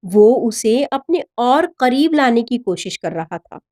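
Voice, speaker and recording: A woman reading a scripted story in an expressive, dramatic narrator's manner. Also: fairly high pitch, takes it slowly. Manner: expressive, voices the dialogue of characters.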